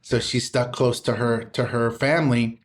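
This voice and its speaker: A man's voice: mid-range voice, fairly even intonation, normal speed. Spoken-word poet man, rhythmic delivery. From Southern California. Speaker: poet and guest. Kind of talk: poetry recital then conversational interview.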